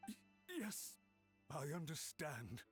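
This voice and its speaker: Anxious underling, raised pitch, deferential and faltering, game dialogue with music under it. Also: male voice, expressive, takes it slowly. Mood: cowed, apologetic. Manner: hesitant, deferential. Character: meek, chastened male official, servile and anxious. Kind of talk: scripted video game voice acting.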